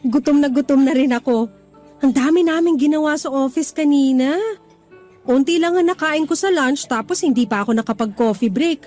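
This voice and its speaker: A woman's voice: high voice, normal speed, a little mellow, close to an everyday voice. A woman playing a bubbly, teasing young office worker. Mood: playful. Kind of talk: radio drama acting. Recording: music underneath.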